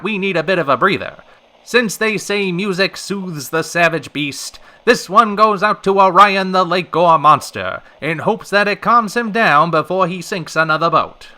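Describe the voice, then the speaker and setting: An actor playing a wry, smooth-talking radio host with a wordy, polished, dryly sarcastic manner in an old-time radio drama. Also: male voice, high voice, pushed and bright, exaggerated voice.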